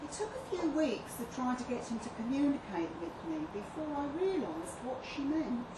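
Earnest, precise schoolmistress as a woman reads her, higher voice, measured delivery, echoing room. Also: big pitch swings. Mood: earnest, reflective. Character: earnest, well-spoken female primary school teacher. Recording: distant echoey live venue, noisy room.

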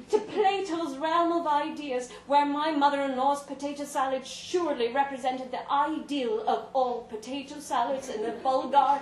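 A woman reading a literary story aloud to a live event, theatrical and deliberate, with dramatic pauses. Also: sits high. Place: indoor live reading in a pub venue. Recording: roomy live venue, faint background noise.

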